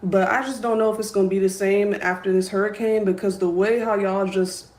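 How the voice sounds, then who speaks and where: A woman with an average-pitched voice making a firm, declarative social media rant. Her voice rings with room echo. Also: steady tone, a little fast, darkish tone.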